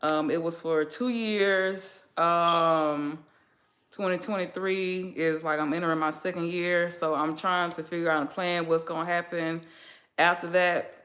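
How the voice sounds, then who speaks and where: Chatty bright-voiced woman vlogger. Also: fairly low pitch, fairly even intonation, unhurried. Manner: chatty, laid-back, lots of fillers and slang. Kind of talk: casual chat with a friend.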